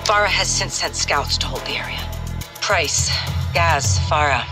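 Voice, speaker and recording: An actress playing a composed American intelligence chief in a military game, giving a clipped, matter-of-fact mission briefing. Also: female voice, sits low, animated, measured pace, music in the background. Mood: composed, serious. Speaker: intelligence officer.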